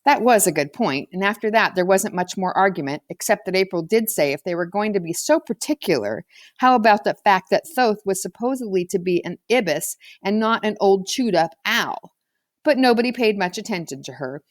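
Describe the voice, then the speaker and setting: A woman's voice: medium pitch, expressive, fairly quick, dry recording, studio quality. A woman reading a children's novel aloud as a scripted narrator. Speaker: audiobook reader.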